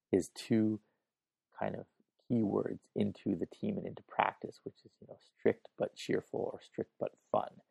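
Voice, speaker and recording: A man hosting a casual solo podcast, hoarse and raspy, chatting informally and thinking aloud on a makeshift mic setup. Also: on the low side, warm tone, no echo. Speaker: podcast host. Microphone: makeshift setup without proper recording gear.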